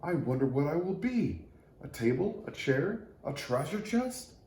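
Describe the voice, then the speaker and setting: Small wistful young tree for a storybook, played by a man, soft-edged and mellow, in a roomy space. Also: a little high, sing-song, a little slow, voice pushed up. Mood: excited hope. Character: sad, wistful scrawny little male tree. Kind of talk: children's storybook character. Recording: roomy echo, faint noise.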